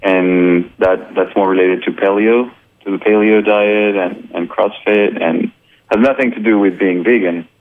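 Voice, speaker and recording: A man from Colombia with a very low voice, heard through a thin phone-like band. He talks in an easygoing way, leaning on fillers as he explains. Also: fairly even intonation, measured pace. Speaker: filmmaker and photographer, guest. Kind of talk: casual radio interview. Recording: narrow phone-like radio sound.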